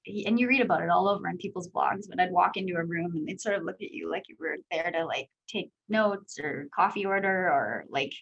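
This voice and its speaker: A woman in her thirties from Canada with a high voice, answering interview questions as a guest speaker in a candid, chatty way full of fillers. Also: brisk, vocal fry, hardly any pauses, fairly bright tone, husky, highs cut off. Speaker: guest speaker, company executive.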